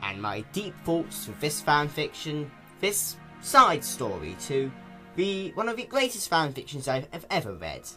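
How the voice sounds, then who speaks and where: A man's voice: fairly high pitch, wildly swooping pitch, measured pace, bright, forceful tone, faint music behind. A man giving an unscripted, casual review in a rambling, mock-grandiose way with exaggerated emphasis. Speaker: fan fiction reader and reviewer.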